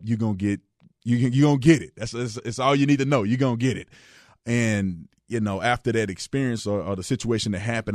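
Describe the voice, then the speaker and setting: Laid-back Texan radio host, low voice. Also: male voice, no room sound, clean studio sound. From Texas. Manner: relaxed, chatty storytelling with frequent restarts. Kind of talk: casual radio monologue.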